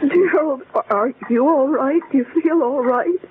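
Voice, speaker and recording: An anxious mother in a radio horror drama. Her voice is high, worried and insistent. It comes through a thin, band-limited recording with faint noise. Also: unhurried, phone-quality sound. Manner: worried, pressing.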